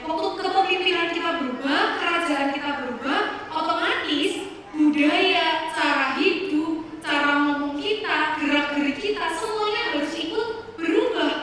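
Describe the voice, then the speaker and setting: A woman delivers a church sermon in a conversational manner, speaking directly to the congregation. Her voice is extremely high. It is picked up at a distance in a hall with long reverberation. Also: a bit bright. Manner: conversational, repeatedly addressing the congregation. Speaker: preacher. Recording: echoey hall, distant mic, faint noise.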